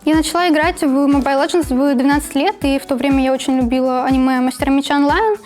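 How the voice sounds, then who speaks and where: High-voiced young woman in an interview. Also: fairly even intonation, fast, a little mellow. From Cherkessk, Karachay-Cherkessia, Russia. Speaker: esports player. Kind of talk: interview answers. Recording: music behind the voice.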